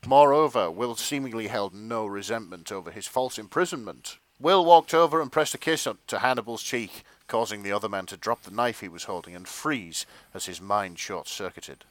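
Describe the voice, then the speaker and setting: A man narrating comic fan fiction on a podcast in a theatrical, deliberate way. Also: on the low side, no echo. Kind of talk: dramatic comic reading of fan fiction with casual banter.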